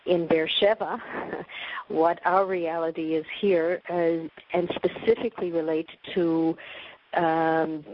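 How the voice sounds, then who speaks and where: A woman's voice: sits low, muffled recording. A woman with a Canadian accent, a nonprofit director, addressing donors in a conversational way with many fillers. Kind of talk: speech to donors.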